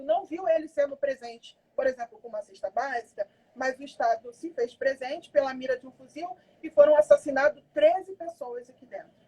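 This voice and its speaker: A woman's voice: high-pitched, dark tone, low-quality recording. A woman, a community activist speaking with passion and emphasis. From Brazil. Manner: impassioned, emphatic. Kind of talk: conversational interview.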